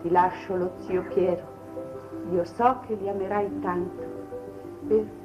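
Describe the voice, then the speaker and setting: An actress playing a frail, pious older mother in an old Italian television drama, speaking gently, solemnly and tenderly. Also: medium pitch, fairly even intonation, a little slow, over music, close to an everyday voice. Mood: tender, serene. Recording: dull 1950s TV soundtrack.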